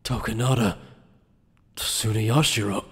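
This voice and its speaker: A man's voice: lowish voice, fairly even intonation, slow, fairly bright tone, husky, full character voice. A man playing an earnest, dutiful junior officer in an anime fan dub, polite and easily flustered. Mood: stunned. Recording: long hall reverb.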